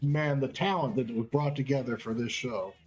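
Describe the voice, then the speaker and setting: A man's voice: mid-range voice, normal speed, a little mellow, faint music behind, rough recording. A man hosting casual wrestling commentary in an enthusiastic, slangy and profane way. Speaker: segment host and match commentator.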